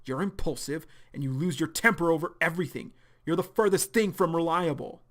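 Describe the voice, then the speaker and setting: A man's voice: medium pitch, fairly even intonation, moderate pace, natural voice. A man voicing a cold, dismissive teenage boy who speaks curtly. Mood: scornful. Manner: curt, cutting.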